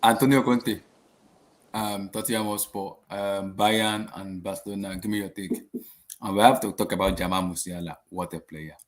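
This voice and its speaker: A man's voice: fairly low pitch, fairly even intonation, moderate pace. A man hosting a casual football panel, asking guests for their take and adding short remarks. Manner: brief prompts and backchannel. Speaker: show host.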